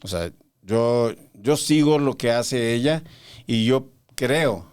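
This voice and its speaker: Male podcast host, smooth clear tone, conversational and probing. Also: mid-range voice, animated, slow, darkish tone. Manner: probing, interrupts to challenge. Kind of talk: casual conversational interview.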